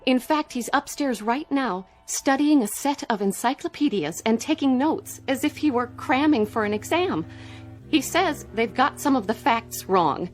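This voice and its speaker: An anxious military wife in a sci-fi radio drama. Her voice sits a little high and carries unease and worry. A light music bed runs under her. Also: lively intonation, normal speed. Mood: troubled.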